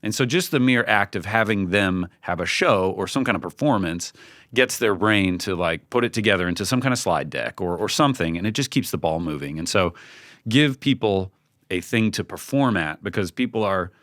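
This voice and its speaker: A man giving casual, conversational advice as a podcast guest, informal and halting, often acting out bits of imagined dialogue. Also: low-pitched, lively intonation, fairly bright tone, no room sound, clean studio sound. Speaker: podcast guest, creative team leader.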